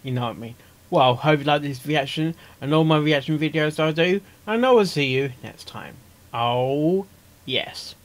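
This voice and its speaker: A man with a slightly high voice gives casual reaction commentary as a host. He rambles and repeats himself as he talks. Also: moderate pace, slight background noise. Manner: rambling, repetitive. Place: small cluttered room indoors.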